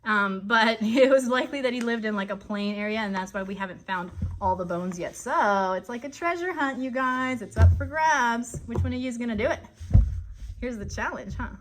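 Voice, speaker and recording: Woman, kids' educational host. High, bright voice, chatty and direct, informal live-stream lesson with faint noise behind. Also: normal speed. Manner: animated, chatty, addresses children directly with frequent check-in questions. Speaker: children's educational show host.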